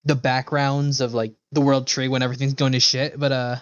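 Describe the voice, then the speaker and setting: A man's voice: medium pitch, flat delivery, brisk, clean tone, no room sound, lo-fi sound. A man casually chatting with viewers as a game livestreamer, rambling and swearing freely. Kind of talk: casual chat with viewers while playing.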